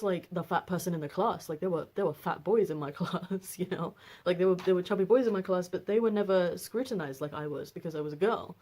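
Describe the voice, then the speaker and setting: A woman in her twenties with a deep voice, talking casually on a personal vlog with lots of fillers and restarts. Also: a little mellow. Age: 20s. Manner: rambling, frequent fillers and restarts. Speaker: vlogger.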